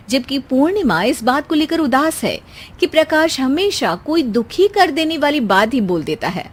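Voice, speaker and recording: Higher-pitched woman telling a story. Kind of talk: scripted story narration.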